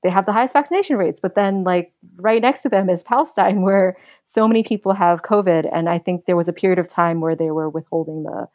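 Thoughtful young woman, low-pitched and dry. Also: dry recording, muffled recording. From United States. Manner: thoughtful, earnest, measured. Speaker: podcast guest. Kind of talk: casual interview.

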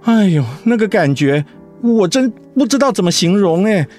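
An actor in a Mandarin radio drama playing a devoted, protective young husband who speaks in a tender, coaxing, sincere way. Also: male voice, high-pitched, animated, normal speed, darkish tone, soft background music. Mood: heartfelt.

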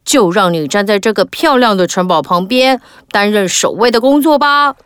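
A woman voicing an excited little boy in a children's audiobook, talking in a bright, bouncy, childlike way. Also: mid-range voice, moderate pace, clear, resonant tone, dry recording, close to an everyday voice. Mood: curious.

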